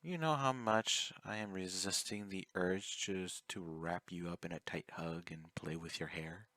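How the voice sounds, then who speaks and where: A man playing an obsessive, clingy male yandere fan in an ASMR roleplay, speaking intimately and coaxingly, courteous even while threatening. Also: sits low, a little slow, no echo, exaggerated voice. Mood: longing, veiled threat. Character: obsessive, clingy, soft-spoken young male yandere fan, politely menacing.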